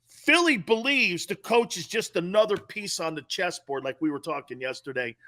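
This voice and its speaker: A man in his late fifties, an animated, joking sports talk co-host telling rambling stories. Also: sits high, moderate pace. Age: late 50s.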